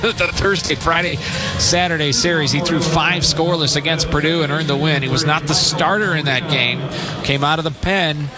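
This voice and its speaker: A man's voice: on the high side, fairly even intonation, normal speed, over loud music. A man doing live radio baseball play-by-play, giving clipped calls and statistics from a stadium. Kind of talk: live radio sports commentary.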